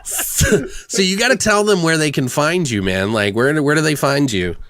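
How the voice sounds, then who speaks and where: A man's voice: fairly high pitch, sing-song, moderate pace, background noise. A man hosting a podcast, chatting casually in a joking manner with plenty of swearing. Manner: profane, joking. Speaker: podcast host.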